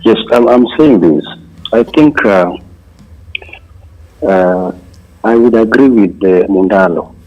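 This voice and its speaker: A man's voice: fairly low pitch, takes it slowly, long pauses, highs cut off. A man from Tanzania calling in to a talk show, speaking hesitantly over a remote call. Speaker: caller.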